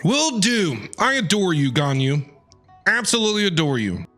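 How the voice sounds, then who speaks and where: Animated woman streamer, chatty. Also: fairly low pitch, moderate pace. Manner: chatty, repeats short reactions. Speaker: game streamer. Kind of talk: casual live commentary on game dialogue. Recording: game music behind the voice.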